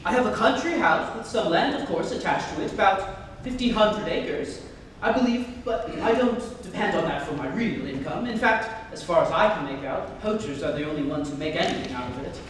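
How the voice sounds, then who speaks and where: A young man playing an earnest, nervous gentleman suitor in his late twenties in a stage reading of a Victorian comedy, with hesitant delivery. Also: sits high, expressive, brisk. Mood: polite, uneasy. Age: young adult. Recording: distant echoey hall, faint background noise.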